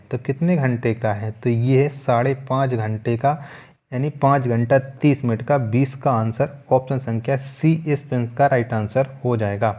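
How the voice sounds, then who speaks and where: A man's voice: mid-range voice, a little mellow. A man teaching a quiz lesson, methodically reading out questions, asking them again and repeating the answers. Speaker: exam coaching teacher.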